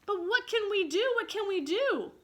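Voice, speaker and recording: A woman voicing excitable, simple-hearted young boys in a storybook. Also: very high-pitched, moderate pace, voice pushed up. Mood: panicked.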